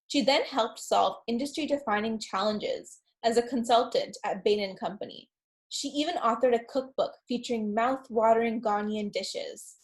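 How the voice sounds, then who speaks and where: A young woman hosting an interview show, reading an earnest introduction and asking questions. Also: fairly high pitch.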